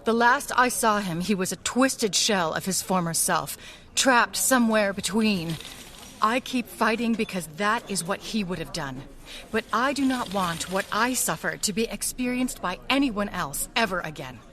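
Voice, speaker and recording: An actress playing a guarded, world-weary crime fighter in a radio drama, formal, blunt and grave. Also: female voice, mid-range voice, lively intonation, normal speed, fairly bright tone, natural voice. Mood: grieving, resolute.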